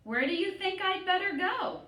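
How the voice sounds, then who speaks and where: A woman's voice: extremely high pitch, animated, moderate pace, pushed and bright, pitched above the performer's own voice, exaggerated voice. A woman voicing a timid young male pig who speaks hesitantly. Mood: unsure. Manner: hesitant, unsure. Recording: echoey room, distant mic.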